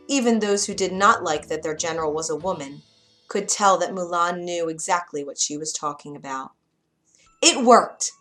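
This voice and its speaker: A woman narrating a children's bedtime story with a clear, expressive reading. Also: on the low side.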